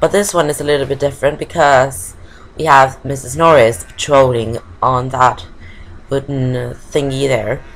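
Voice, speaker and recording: A woman's voice: very deep voice, fairly even intonation, moderate pace, rough recording. A woman giving casual let's play commentary, chatty and explaining each step with plenty of fillers.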